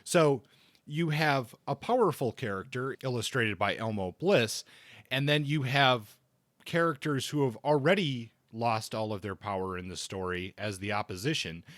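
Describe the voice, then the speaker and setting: A man co-hosting a podcast, casually discussing books in a halting way, often rephrasing as he thinks aloud. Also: medium pitch, fairly bright tone, dry recording.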